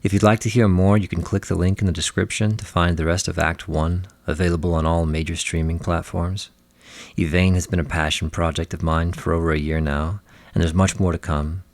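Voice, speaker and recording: A man's voice: low voice, steady tone, brisk, no echo. A man giving a scripted, courteous thank-you to listeners as the creator of an audio play. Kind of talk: scripted outro address to listeners.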